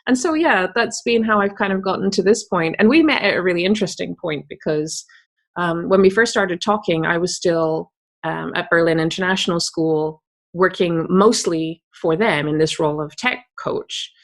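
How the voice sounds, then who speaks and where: Scottish woman with a softened accent. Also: lowish voice, normal speed. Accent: Scottish, softened by years abroad. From Scotland. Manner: candid and upbeat, laughs easily, with many fillers like "you know". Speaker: tech coach and guest. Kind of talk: conversational interview answers.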